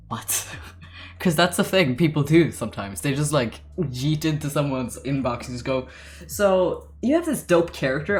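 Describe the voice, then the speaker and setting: Young man, late teens, deep voice, casual livestream chatter, rambling, laughing, casual swearing. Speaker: artist streamer. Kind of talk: casual livestream chat while drawing.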